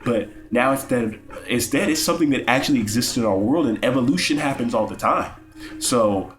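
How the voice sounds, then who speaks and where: A man's voice: mid-range voice, animated, a little fast, soft background music. A young man with a cold, sniffling as he gives a casual, rambling, profane game review with lots of stammered restarts. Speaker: gaming YouTuber.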